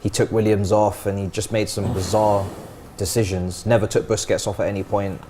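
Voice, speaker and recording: Fast-talking low-voiced young man. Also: level tone, background noise. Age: 20s. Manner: quick, opinionated banter. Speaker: panelist. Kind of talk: casual football chat.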